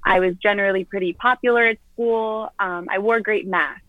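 A woman's voice: medium pitch, steady tone, normal speed. A woman from Florida speaks candidly and earnestly as a remote interview guest. Her voice comes through a dull, lo-fi video-call connection. The sound is dry, with no room echo. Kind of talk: personal story in an interview.

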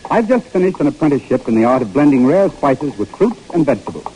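An actor playing an earnest, good-humored young English pickle peddler in a 1950s radio drama. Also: male voice, fairly high pitch, big pitch swings, fast talker, dark tone, a little hiss or hum, rough recording. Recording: old radio broadcast with faint music.

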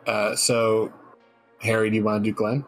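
A man's voice: fairly low pitch, flat delivery, normal speed, faint music behind, rough recording. A man guesting on a stream, moving between casual chat and reading from a script.

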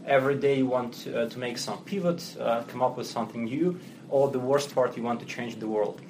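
A man's voice: mid-range voice, fairly even intonation, fairly quick, darkish tone, a little hiss or hum, low-quality recording. A man from Russia with a Russian accent, a company chief speaking as a podcast guest in plain businesslike talk with many fillers. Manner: fluent but non-native phrasing, businesslike, frequent fillers. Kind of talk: podcast interview.

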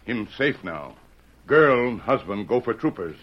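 An actor playing a loyal, steadfast scout sidekick in an old radio western, speaking terse broken English with dropped words. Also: male voice, fairly low pitch, big pitch swings, a little slow. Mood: matter-of-fact. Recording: muffled vintage radio recording, faint noise.

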